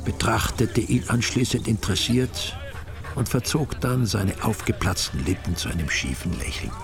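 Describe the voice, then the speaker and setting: An older man narrating a radio drama in a measured, wry way. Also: lowish voice, sing-song. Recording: studio radio play with music.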